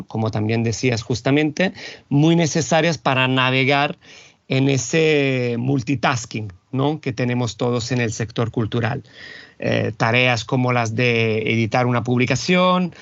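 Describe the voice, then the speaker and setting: A man from Italy, an Italian native speaker, speaking as a guest in a thoughtful, measured way, pausing to find his words. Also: medium pitch, no room sound, dull audio. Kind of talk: reflective interview talk. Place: home, Barcelona.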